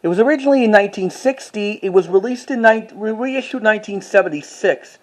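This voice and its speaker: A collector giving a casual show-and-tell commentary, speaking haltingly with repeats and self-corrections. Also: medium pitch, poor audio.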